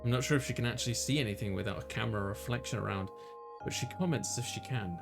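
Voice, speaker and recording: A man's voice: mid-range voice, very fast, gravelly, over music, lightly acted. A man voicing a flustered young male college student who narrates his own story in a visual novel. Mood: easygoing.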